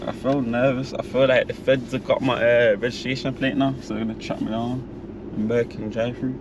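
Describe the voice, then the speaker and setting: A man's voice: medium pitch, flat delivery, a little fast. A young British man chatting casually and slangily from inside a car, as a prankster vlogger. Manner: slangy, informal. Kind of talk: casual chat and drive-through prank. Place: inside a car at drive-throughs. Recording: noisy car interior, engine and outdoor hum.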